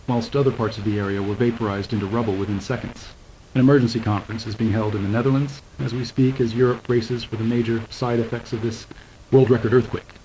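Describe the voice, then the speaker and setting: A man's voice: medium pitch, a little mellow, lightly acted. A man playing a formal news anchor delivering an urgent scripted disaster bulletin for an analog horror film. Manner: formal, announcer-like. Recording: faintly noisy mock news tape.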